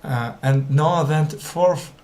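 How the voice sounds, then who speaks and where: A man's voice: a little high, slow pace, crowd noise. A man who is not a native English speaker reads scripture aloud as a learner, hesitating, stumbling and repeating words. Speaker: language learner. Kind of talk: halting read-aloud of scripture.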